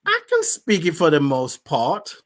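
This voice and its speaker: A man's voice: a little high, wildly swooping pitch, measured pace, a bit bright. A man from Nigeria, hosting a podcast and asking long, thoughtful, searching questions. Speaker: podcast host. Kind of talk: reflective conversation.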